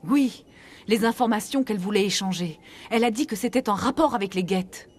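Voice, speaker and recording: A woman's voice: medium pitch, a little fast, slightly rough, natural voice. A woman playing an earnest, frank clinic doctor in a video game, explaining things seriously. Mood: frank, surprised. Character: earnest female human clinic doctor, shaken but frank.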